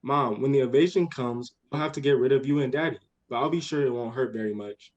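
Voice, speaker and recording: A man's voice: medium pitch, fast, natural voice. A young man playing an eager, bossy, imaginative little girl in a radio play, with earnest impatience. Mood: earnest, excited. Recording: dull lo-fi audio.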